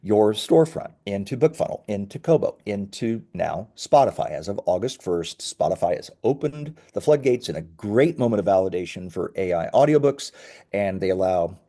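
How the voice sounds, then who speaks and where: A man's voice: lowish voice, animated, normal speed, mellow. A man speaking as a company founder in an interview, explaining conversationally with emphatic, self-correcting phrasing.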